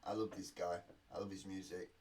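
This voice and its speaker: A man's voice: deep voice, level tone, brisk, darkish tone. A man hosting a reaction video in casual, rambling chat, sounding a little sniffly from a cold. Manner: rambling, self-deprecating asides. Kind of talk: casual chatty commentary. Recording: distant mic with faint noise.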